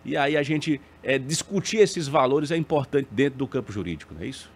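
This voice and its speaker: A man's voice: medium pitch, lively intonation, brisk, breathy edge. A man hosting a morning TV news program from a studio, introducing and questioning a guest in a conversational way with tag questions. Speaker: TV news host.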